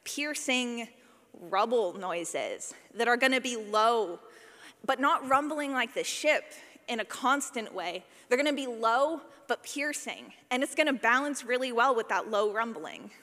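A woman giving a live workshop talk as a sound designer, animated, playful and informal, acting out examples as she goes. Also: a little high, measured pace. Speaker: session presenter, podcast sound designer. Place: large indoor session room. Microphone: presentation microphone. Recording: echoey hall, live presentation.

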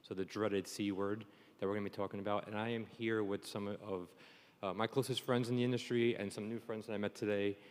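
A man with a lowish voice hosts a live panel in a chatty, joking way. The sound carries the long reverb of a conference stage. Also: flat delivery, fairly quick. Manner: chatty, jokey. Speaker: panel moderator. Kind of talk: informal live panel hosting.

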